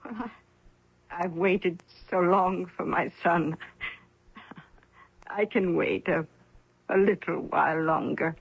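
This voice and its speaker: Old mom in a radio drama, cracked husky voice, dry close sound, pleading. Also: female voice, on the low side, fairly even intonation, takes it slowly, long pauses, dry recording, heavily acted. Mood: pleading, tender. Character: pleading, long-waiting elderly mother of grown son. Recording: muffled old radio broadcast.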